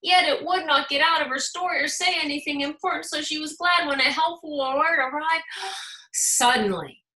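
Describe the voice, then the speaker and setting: Bright, animated American woman reading a storybook. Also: high-pitched, normal speed. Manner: animated, emphatic storytelling with dramatic gasps. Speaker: school principal reading aloud to children. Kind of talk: expressive children's storybook read-aloud. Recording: roomy, echoing sound.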